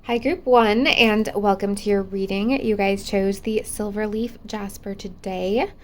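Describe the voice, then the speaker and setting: A woman's voice: medium pitch, fairly even intonation, unhurried, a little mellow. A woman giving a pick-a-card tarot reading, her voice still affected by a cold, musing hesitantly with many fillers and restarts. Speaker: tarot reader.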